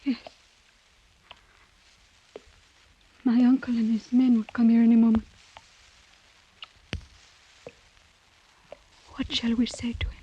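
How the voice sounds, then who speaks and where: An actress playing a proud, tender young French noblewoman in melodramatic old radio style, breathy and earnest, on a muffled vintage recording. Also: fairly high pitch, little pitch movement, fast. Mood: sorrowful. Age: young adult. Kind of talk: 1940s-50s radio drama acting.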